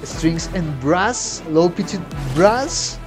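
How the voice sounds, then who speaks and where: A young man gives a casual, excited reaction with plenty of slang and cursing. His pitch moves around a lot while his pace stays slow, and trailer music plays clearly behind him. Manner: effusive, slangy, swearing. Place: small room at home. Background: game trailer music.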